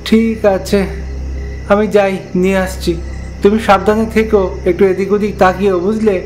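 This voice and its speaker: Man doing a young village boy, raised high voice, anxious, caring, dutiful, cartoon ghost story, music behind. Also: level tone, moderate pace, a little mellow, lightly acted, rough recording. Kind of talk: dramatised animated ghost story.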